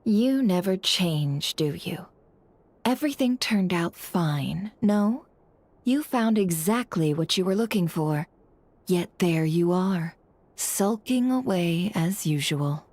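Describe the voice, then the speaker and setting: A woman's voice: fairly low pitch, expressive, takes it slowly, a little mellow, full character voice. A woman voicing a cool, shrewd female boss in a video game, dry, teasing and languid. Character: cool, wry businesswoman boss, shrewd and unhurried. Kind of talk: English video game voice acting.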